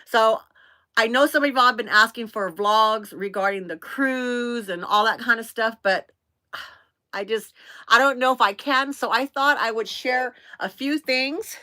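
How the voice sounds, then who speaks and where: A woman of 57, hosting a casual live stream in an excitable, scattered, bubbly way and chatting to viewers. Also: on the high side, a little slow. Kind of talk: casual chat, greeting viewers by name.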